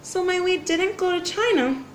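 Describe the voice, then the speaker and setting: A woman's voice: very high voice, moderate pace, pitched above the performer's own voice. A woman voicing Oliver's part as a pestering, questioning young boy in a children's storybook. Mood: defensive. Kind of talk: storybook character dialogue. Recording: roomy echo, faint background noise.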